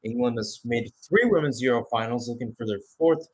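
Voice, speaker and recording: A man's voice: mid-range voice, fairly quick, a little mellow. A man doing live radio-style soccer commentary as the play-by-play announcer, narrating in short clipped phrases.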